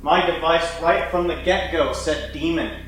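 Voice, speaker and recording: A man hosting a paranormal investigation, speaking tensely and urgently and calling out to a spirit in a dark house. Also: on the high side, unhurried, a little hiss or hum. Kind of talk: ghost-hunting commentary. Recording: roomy echo, faint ambience.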